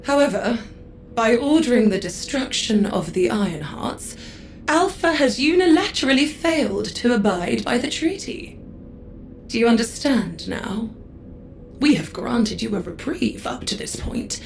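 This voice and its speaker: A woman's voice: on the high side, animated, unhurried, vocal fry, a little hiss or hum. A woman voicing an imperious adjudicator who speaks in a formal, condescending, clipped way. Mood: haughty. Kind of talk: game cutscene dialogue.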